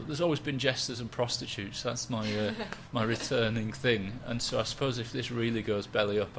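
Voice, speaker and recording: A man, a stand-up comedian chatting in a relaxed, rambling, self-mocking way. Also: on the low side, vocal fry, a little hiss or hum. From West Yorkshire, England. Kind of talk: relaxed interview chat.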